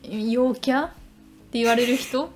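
High-voiced, eager woman podcaster over faint music. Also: a little slow. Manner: eager, insistent, talkative. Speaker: podcast co-host. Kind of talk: casual chat.

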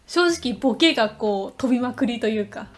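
A young adult woman co-hosting a podcast, telling stories in a chatty, animated way in casual conversation. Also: fairly high pitch, brisk. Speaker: podcast co-host. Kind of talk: casual chat.

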